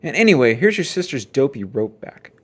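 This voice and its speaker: Someone doing a cocky boy bear gang leader from a picture book, slangy, teasing, bouncy pitch. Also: big pitch swings, a little fast, breathy edge. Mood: mocking.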